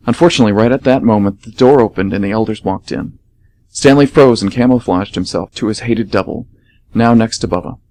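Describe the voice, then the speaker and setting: A man's voice: medium pitch, a little mellow. A man performing radio theatre, reading scripted story narration and announcements as a presenter.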